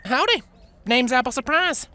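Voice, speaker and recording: A woman voicing a friendly, sensible young country pony filly with a drawl and a deadpan streak for a story. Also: sits high, moderate pace, pitched above the performer's own voice.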